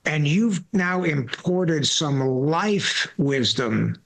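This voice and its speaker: A man's voice: on the high side, lively intonation, extremely slow, vocal fry, a bit bright. A man in his sixties hosting a podcast, telling stories in a loose, rambling way with repeated words and folksy asides. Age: 60s. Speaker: podcast host. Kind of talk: casual conversational interview.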